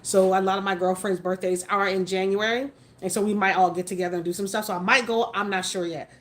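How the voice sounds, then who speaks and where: A woman's voice: mid-range voice, fairly even intonation, fast, fairly bright tone. A woman in her forties hosting a casual livestream, talking frankly and emphatically while answering viewer comments. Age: 40s. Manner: frank, mildly profane, emphatic. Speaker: livestream host.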